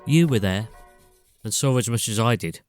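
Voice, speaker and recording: A man playing a defensive, threatening village landlord in a podcast drama, speaking forcefully. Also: fairly low pitch, animated, moderate pace, faint music behind, lightly acted. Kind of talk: podcast audio drama acting.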